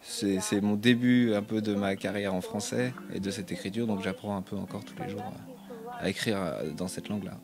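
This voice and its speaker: A man's voice: fairly low pitch, steady tone, fairly quick. A man, a singer-songwriter, answering interview questions about his album in a casual, hesitant way, with many fillers and restarts.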